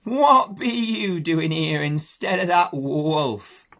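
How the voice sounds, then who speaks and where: A man voicing an old female ewe, gruff and motherly, with a hoarse, croaking voice and rustic country dialect. He speaks slowly, drawling and blunt. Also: on the high side, expressive, fairly bright tone, voice pushed up, exaggerated voice.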